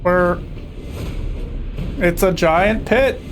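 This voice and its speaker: A man's voice: high-pitched, extremely slow, music in the background. A young man casually commenting on a video game as he plays, chatty and sarcastic. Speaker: streamer playing a video game.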